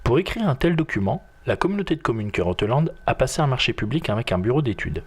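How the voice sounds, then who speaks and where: A man's voice: mid-range voice, sing-song, fast, husky. A man reading a scripted institutional narration in a formal, fluent, presentational way.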